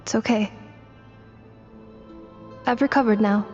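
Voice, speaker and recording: A woman voicing a gentle, earnest female robot in a video game, precise in wording and a little hesitant. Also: fairly high pitch, steady tone, takes it slowly. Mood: earnest, calm. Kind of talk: scripted video game dialogue. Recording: dull muffled audio, long hall reverb. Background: game music.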